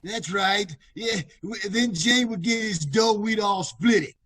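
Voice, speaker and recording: A man playing a shady, husky-voiced crook in an old-time radio crime comedy. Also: sits high, unhurried, fairly bright tone, full character voice. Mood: grudging. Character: shady male crook confessing a scheme.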